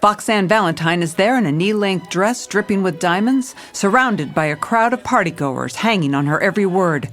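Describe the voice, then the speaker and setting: A woman's voice: medium pitch, animated, moderate pace, few pauses, a bit bright, faint music behind, lightly acted. A woman playing a jaded, hard-boiled police commissioner, narrating a noir audio drama in a dry, world-weary, stern way. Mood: tense, wry.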